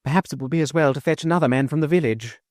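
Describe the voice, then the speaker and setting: A man's voice: fairly high pitch, rapid-fire, a little mellow, no echo, clean studio sound, voice pushed up, natural voice. A man voicing a fussy, easily alarmed country vicar who blurts flustered exclamations.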